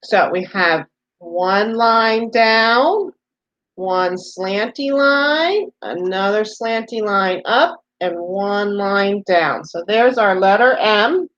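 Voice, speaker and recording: A woman's voice: mid-range voice, lively intonation, slow pace, a bit bright, muffled recording. A woman teaching young children, explaining each step simply and patiently with plenty of repetition. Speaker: preschool teacher. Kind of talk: children's read-aloud lesson, talking to young children.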